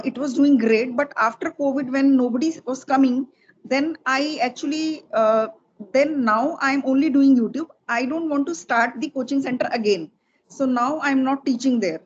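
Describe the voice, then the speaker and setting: A middle-aged woman from Delhi with an Indian accent, chatting as a spoken English teacher being interviewed. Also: high-pitched. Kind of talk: casual conversational interview in English. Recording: dull lo-fi sound with faint noise.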